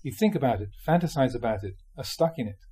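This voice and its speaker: A man reading Buddhist teachings aloud like a monk giving a dharma talk, with measured, emphatic phrasing. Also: medium pitch, expressive, dark tone, slightly rough.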